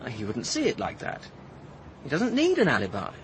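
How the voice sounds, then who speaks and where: A man's voice: medium pitch, huge pitch swings, moderate pace, slight background noise. An actor playing a clever, cynical young Englishman who argues in an articulate, sardonic manner in a radio drama. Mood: sardonic, reasoning.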